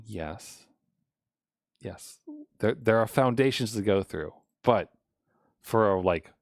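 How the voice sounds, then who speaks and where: Low-voiced man thinking aloud on stream. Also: no echo, studio quality. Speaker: live streamer coding a game. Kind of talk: casual unscripted coding stream commentary.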